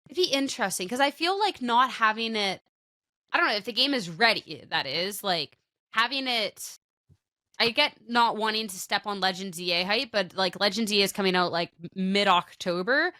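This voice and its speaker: A woman co-hosting a podcast, chatting casually with a bright, forceful edge to her voice. Her sentences wander, trail off and restart. The recording is dry and close. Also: mid-range voice, expressive, normal speed, no room sound. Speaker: podcast co-host. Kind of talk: casual chat.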